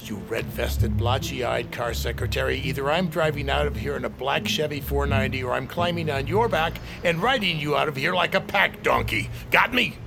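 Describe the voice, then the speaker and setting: An actor playing an arrogant, threatening journalist in an audio drama, caustic and haughty, with a gravelly voice. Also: male voice, medium pitch, normal speed, hardly any pauses, background noise, lightly acted. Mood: irate.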